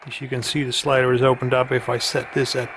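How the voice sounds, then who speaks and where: Male gear reviewer, casual and halting, mid-range voice, heavy radio hiss behind him. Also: medium pitch, little pitch movement. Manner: halting, with hesitant pauses. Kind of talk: casual hands-on demonstration. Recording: loud radio hiss and static. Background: radio noise.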